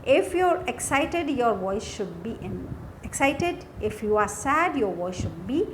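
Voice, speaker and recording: Slow-paced Indian woman lecturer. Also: on the high side, big pitch swings, rough recording. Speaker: English lecturer. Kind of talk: scripted lesson narration. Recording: faint background noise.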